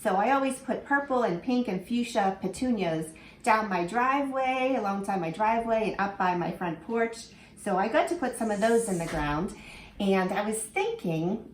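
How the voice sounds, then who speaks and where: A woman teacher reading a picture book aloud to children in an animated way, stopping to ask them questions. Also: medium pitch, moderate pace. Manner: animated, asks the listener questions. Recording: echoey room with faint ambience.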